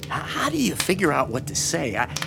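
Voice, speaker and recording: A man's voice: a little high, huge pitch swings, brisk, vocal fry, over music, full character voice. An actor playing a lonely, timid small-town man with a hesitant, earnest manner in a radio horror drama. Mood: hesitant, awed.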